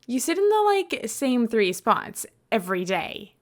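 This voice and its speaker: A woman voicing a playful, excitable young male college student in a story, animated and mock-indignant. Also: a little high, a little slow, voice pushed up. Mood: indignant, complaining.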